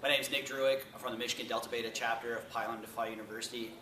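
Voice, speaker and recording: A man who belongs to a fraternity, giving brisk, upbeat interview answers. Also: medium pitch, little pitch movement, pushed and bright, a little hiss or hum. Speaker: fraternity member. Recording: roomy, distant mic.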